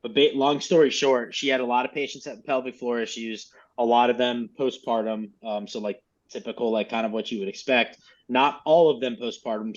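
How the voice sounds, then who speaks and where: A man coaching a group in casual, informal, mildly profane instructional talk over a lo-fi video call. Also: mid-range voice. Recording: dull, lo-fi video call audio.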